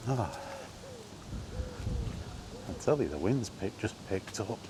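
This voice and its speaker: Guy with a low voice just rambling casually on a walk outside, stops and starts, bit of wind noise. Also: big pitch swings. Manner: rambling, conversational, halting pauses. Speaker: gaming vlogger. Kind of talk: casual rambling chat while walking. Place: outdoors, walking. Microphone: microphone with wind guard. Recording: windy outdoor recording, background noise.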